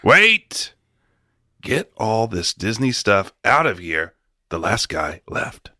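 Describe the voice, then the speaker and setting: A man's voice: lowish voice, sing-song, slow, sharp tone, no echo, heavily acted. A man playing a brash, self-important new studio chief in a comedic amateur radio play, bossy and pompous.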